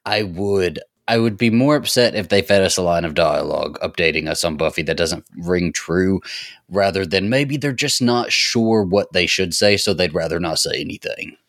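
A man's voice: sits low, moderate pace, fairly bright tone, no room sound, studio quality. A man co-hosting a podcast in casual chat, with a wry, blunt way of talking. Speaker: podcast co-host.

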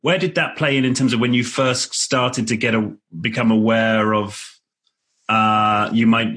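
A man's voice: fairly low pitch, a bit bright. A man interviewing someone conversationally, tentative and often rephrasing his questions midway.

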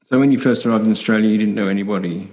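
A man interviewing a guest, asking steady, prompting questions. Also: lowish voice, racing.

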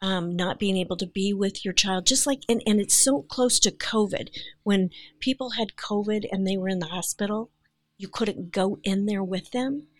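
A woman's voice: medium pitch, moderate pace, no echo. An older woman speaking as an actress guest on a podcast, talking in an earnest, heartfelt way. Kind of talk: casual podcast chat.

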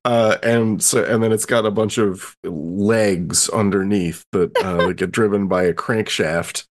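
A man in casual table talk as a player in a roleplaying game, halting as he thinks aloud. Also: fairly low pitch, fairly even intonation, a little hiss or hum.

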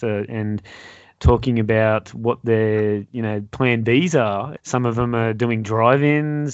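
A man's voice: mid-range voice, clean tone, no echo, highs cut off. A man hosting a podcast interview, affirming his guest and chatting about his own experience. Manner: encouraging, quick affirmations. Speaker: podcast host.

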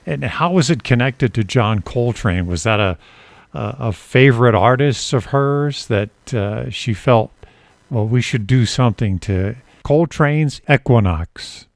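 A man hosting a conversational interview, putting his questions in a tentative, halting way. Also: medium pitch, animated, gravelly, dry recording. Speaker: interviewer, show host.

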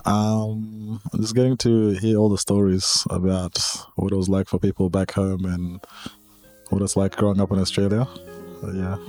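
A man with a lowish voice and very flat intonation answers interview questions casually. He is tentative, feeling his way through each thought. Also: moderate pace, faint music behind. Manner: tentative, hedging with "like" and "maybe". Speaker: refugee interviewee.

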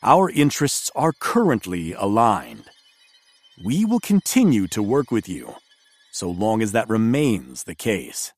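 A man's voice: on the low side, huge pitch swings, unhurried, a little mellow, no echo, full character voice. A man playing a proud, scornful villainous envoy in an audio drama, speaking coldly, formally and defiantly. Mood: cold defiance. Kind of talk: scripted audio drama acting.

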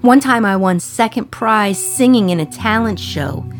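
A woman's voice: mid-range voice, expressive, measured pace, faint music behind, close to an everyday voice. An actress playing a sardonic, resentful jailed woman in her thirties from 1950s New York, confiding her memories in a radio play monologue. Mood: fond, wistful.

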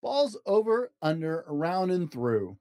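Animated man reading a children's picture book. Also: on the high side, unhurried, no echo, clean studio sound. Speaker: podcast host reading picture books aloud. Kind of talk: expressive children's storybook reading.

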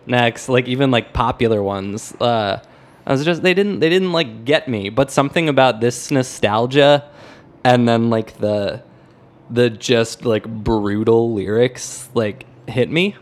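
A man's voice: medium pitch, measured pace. A man hosting a podcast, chatting casually and informally about music in slangy language. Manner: informal slangy talk, sometimes sings or hums snatches to illustrate. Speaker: podcast host.

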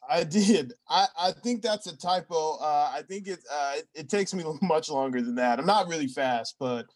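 Hapless male applicant played by a comic actor: higher voice, halting, rambling, forever explaining himself, improv sketch comedy. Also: close to an everyday voice. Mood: sheepish, hesitant. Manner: halting, rambling, sheepishly self-justifying.

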